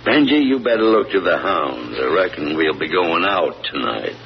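An actor playing a weary rural farmer father just out of prison, in a slow folksy drawl, in 1940s radio acting style. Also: low voice, very animated. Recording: noisy lo-fi old radio recording.

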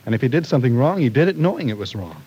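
A man's voice: medium pitch, sing-song, rapid-fire, mellow, slightly rough, poor audio. An actor playing a young woman's skeptical, protective brother in an old-time radio drama, blunt and doubting. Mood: firm, protective.

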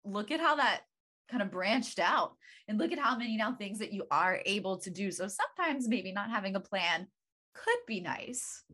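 A woman's voice: mid-range voice, animated, moderate pace, bright, forceful tone. A woman hosting an interview, asking long chatty questions full of "like" and "you know". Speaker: interviewer host.

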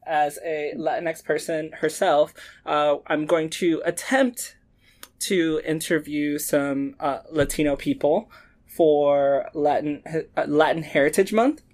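A man hosting a gaming podcast, talking in a casual, upbeat and excitable way. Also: on the high side, slow. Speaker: podcast host.

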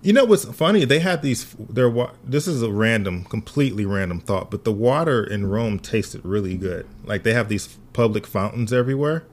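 A man chatting casually on a podcast, an animated storyteller who laughs often. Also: fairly low pitch, moderate pace, hardly any pauses. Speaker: podcast guest. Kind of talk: casual chat.

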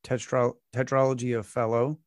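A relaxed man with a New England accent hosts a casual chat, pausing on fillers and chuckling between questions. His voice sits at an everyday pitch and sounds dry and close, with no room around it. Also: no echo. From Massachusetts, near the Rhode Island border. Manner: laid-back, chuckles often, halting with many fillers. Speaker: podcast host.

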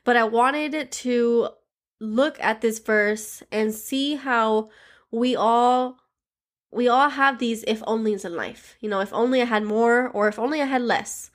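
A woman's voice: a little high, unhurried. A woman from Miami, sounding stuffy from a cold, hosting a daily devotional podcast and leading listeners in prayer with an earnest, heartfelt manner. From Miami, Florida.

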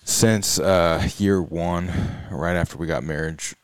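A man co-hosting a podcast, joking at times and frank, speaking haltingly with restarts. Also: deep voice, fairly even intonation, clean tone, no room sound. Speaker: podcast co-host. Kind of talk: casual chat.

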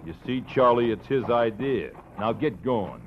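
A man's voice: medium pitch, expressive, soft background music, lightly acted. A man playing a dim-witted sullen thug. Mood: threatening. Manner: blunt, slow-witted threats. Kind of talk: 1950s radio drama acting. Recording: muffled old radio audio with faint hiss.

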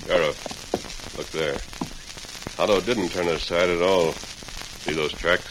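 A man playing a heroic, commanding masked cowboy lawman in a 1940s radio western, speaking deliberately and with authority. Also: low-pitched, fairly even intonation. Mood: grave, resolute. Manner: deliberate, authoritative, soothes his horse. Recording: noisy, hissing vintage radio recording.

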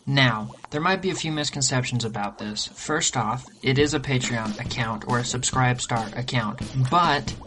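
A man's voice: medium pitch, moderate pace, few pauses, bright, forceful tone, a little hiss or hum. A man hosting a podcast, addressing listeners in a casual promotional style. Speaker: podcast host.

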